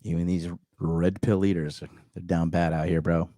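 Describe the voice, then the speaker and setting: A man's voice: low-pitched, level tone, moderate pace, dark tone, dry recording, clean studio sound. A man hosting a podcast, making sarcastic, crude comments as he reacts to a dating video. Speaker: podcast host reacting to a clip. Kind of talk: mocking commentary.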